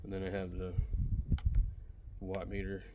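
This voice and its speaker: A man with a fairly low, very even voice talks through a hands-on hobby demonstration. He is plainspoken and rambles casually as he sets up gear. The outdoor recording is clearly noisy. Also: unhurried. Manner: plainspoken, rambling, talking while setting up gear. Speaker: amateur radio hobbyist demonstrating portable gear. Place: outdoors in a backyard. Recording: noisy outdoor recording, uneven mic distance.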